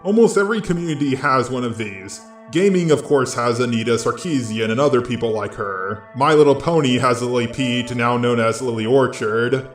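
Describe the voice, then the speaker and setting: A synthetic robot male voice reading a scripted video essay narration in a flat, mechanical text-to-speech delivery. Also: mid-range voice, reverberant.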